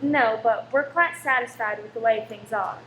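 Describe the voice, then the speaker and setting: A woman playing a polite, protective wife in a radio play, modest, guarded and gently wry. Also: a little high, normal speed, natural voice. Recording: echoey, faintly noisy room.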